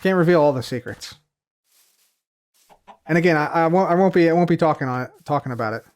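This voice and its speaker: A man's voice: a little high, quick pace. A man chatting casually on a live stream, answering viewer questions, rambling and repeating himself with many fillers, his voice a little tired from heavy use. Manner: trails off quietly at the ends of phrases; reads viewer questions aloud from chat.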